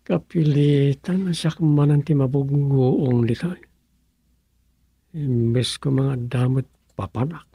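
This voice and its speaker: A gravelly, sarcastic village councillor who grumbles through a radio comedy drama, played by an actor. The voice sits at a middle pitch, rough and husky, with a very dry sound. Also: slow, dark tone, no room sound. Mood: grumbling, muttering.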